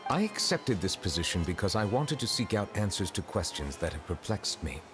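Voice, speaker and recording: A man's voice: sits low, very animated, a little fast. A man voicing an ancient, solemn dragon in human form who serves as chief justice in a video game, speaking formally and reflectively. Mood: pensive. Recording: game dialogue with music behind.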